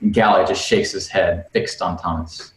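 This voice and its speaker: A man's voice: low-pitched, fairly even intonation, moderate pace, fairly bright tone. A man reading screenplay stage directions on a video call, with jokey asides. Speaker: screenplay narrator at a table read. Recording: roomy video-call audio.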